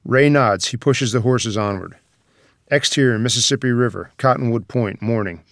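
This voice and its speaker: A man's voice: mid-range voice, normal speed, no echo, clean studio sound. A man reading scripted stage directions as the narrator of a screenplay table read.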